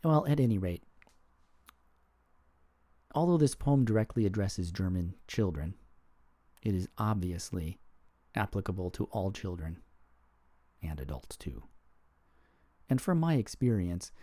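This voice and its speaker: A man hosting his own podcast, speaking in a thoughtful, reflective monologue and sometimes pausing to search for words. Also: low-pitched, animated, mellow, no room sound. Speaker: podcast host.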